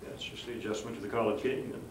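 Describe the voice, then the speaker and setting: Gravelly male reporter, distant and echoey. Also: on the low side, fairly even intonation, very fast. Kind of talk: casual press questioning. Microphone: off-camera, away from mic. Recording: distant, echoey, noisy off-mic voice.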